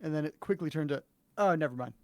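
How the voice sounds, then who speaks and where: A man with a creaky, gravelly voice pitched a little high for a man chats on a live stream. He jokes in an understated, offhand way. The sound is dry and close. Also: fast, dry recording. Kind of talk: casual chat on a live stream.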